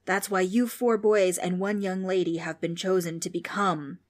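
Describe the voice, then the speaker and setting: Old ghost, irritable and grandly spooky, read by a woman narrator; low-set, mock-menacing storybook delivery. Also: fairly even intonation, moderate pace, close to an everyday voice. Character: grumpy old male ghost, pompous and theatrical.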